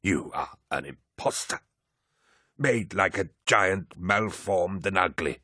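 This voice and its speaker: A man voicing a frail, defiant elderly ruler with a thick antique accent and a raspy voice in an audiobook. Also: low voice, expressive, slow, sharp tone, no echo, studio quality, exaggerated voice. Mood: indignant. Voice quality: raspy and gravelly. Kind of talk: audiobook character voice.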